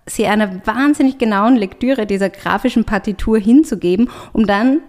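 A woman's voice: on the high side, moderate pace, darkish tone. A woman writer and artist talking as a guest in an interview, eager, stacking up lists and restarting her sentences as ideas crowd in. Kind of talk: conversational interview.

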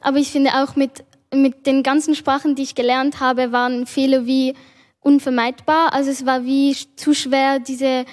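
A woman's voice: high voice, flat delivery, normal speed. A young woman whose first language is Italian speaks from the audience of a panel discussion, hesitant and full of fillers. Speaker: audience member. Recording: reverberant hall.

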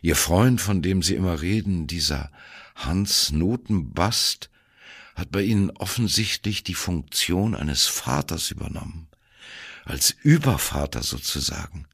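Radio play role: self-important analyst, patronising and deliberate, rough-grained voice with swinging intonation. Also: male voice, low voice, expressive, a bit bright, no echo, studio quality, heavily acted. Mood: condescending. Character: pompous, condescending male psychologist lecturing his patient. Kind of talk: radio play, quoted character in monologue.

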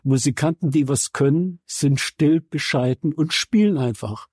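A man's voice: on the high side, a little slow, a little mellow, slightly rough, no echo, clean studio sound. A man in his seventies from Saxony, chatting casually as an interview guest in a colloquial, wry, plain-spoken way. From Saxony, Germany. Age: 70s. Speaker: interview guest, veteran radio journalist and musician. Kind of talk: casual conversational anecdotes.

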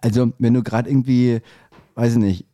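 A man's voice: medium pitch, flat delivery, normal speed, warm tone, no room sound. A young man co-hosting a podcast, talking casually in long rambling turns with stammered restarts and cheeky jokes. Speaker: podcast co-host. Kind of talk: casual chat.

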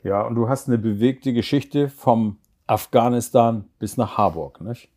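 A man's voice: mid-range voice, a little slow, a little mellow. A man hosting a podcast interview who draws out his guest with questions and fills in answers. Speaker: podcast host. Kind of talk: conversational interview.

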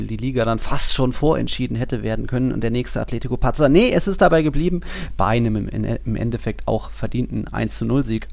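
A man's voice: medium pitch, creaky, no echo. A man co-hosting a podcast, talking sport in a casual, colloquial and slangy way. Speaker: football podcast co-host.

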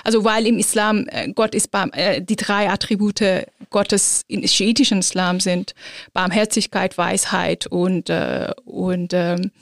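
Halting woman with gravelly voice. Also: mid-range voice, no echo, studio quality. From Iran. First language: Azeri. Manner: thoughtful, halting with many fillers. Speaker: guest, philosopher of religion. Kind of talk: interview answers.

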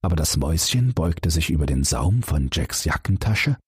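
Deep husky man reading a fairy tale. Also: normal speed, no echo, clean studio sound. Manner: calm, expressive storytelling. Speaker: audiobook narrator.

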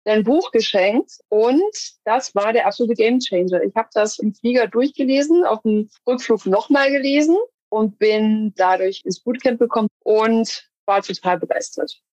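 A woman's voice: fairly high pitch, normal speed, a little mellow, no room sound, dull audio. A woman recalling her experience in casual interview answers, searching for words now and then. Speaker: course graduate.

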